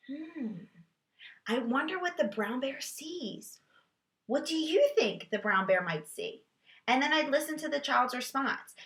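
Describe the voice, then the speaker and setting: A woman teacher demonstrating a children's read-aloud, moving between explanation and expressive, sing-song reading with questions for a child. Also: fairly high pitch. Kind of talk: read-aloud lesson with reading tips for parents. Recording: roomy echo.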